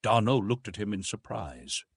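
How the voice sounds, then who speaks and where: A man's voice: fairly low pitch, lively intonation, moderate pace, vocal fry, slightly rough, no echo, studio quality. A man narrating an adventure novel as an audiobook in a scripted storytelling style.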